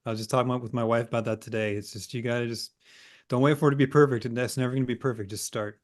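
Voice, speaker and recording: A man's voice: mid-range voice, steady tone, very fast. A man around forty, a guest on a podcast, talking about his work in an earnest, upbeat and encouraging way. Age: 40s. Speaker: guest, editor and agency owner. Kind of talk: casual interview talk.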